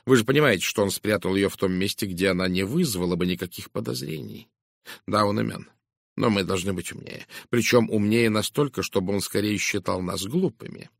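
A man voicing a shrewd, composed Belgian detective in an audiobook, speaking with measured courtesy and deliberate, probing emphasis. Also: on the low side, gravelly, no echo, studio quality. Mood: confiding, thoughtful. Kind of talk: dramatised audiobook dialogue.

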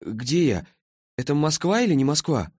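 A man's voice: a little high, big pitch swings, normal speed, darkish tone, slightly rough, no room sound, clean studio sound, voice pushed up. A man voicing a polite, bewildered schoolboy time traveller in an audiobook, hesitant and earnest.

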